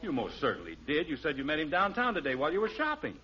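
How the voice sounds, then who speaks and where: A man's voice: on the high side, wildly swooping pitch, fast, sharp tone, breathy edge, full character voice. An actor playing a stubborn, forgetful middle-aged American father in a 1950s radio sitcom, flustered, insistent and irritable. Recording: dull, noisy old radio recording.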